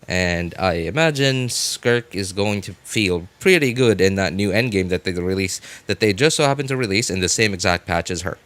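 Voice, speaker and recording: Low-voiced man chatting on a game stream. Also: fairly bright tone. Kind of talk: casual live stream chat with viewers. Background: music.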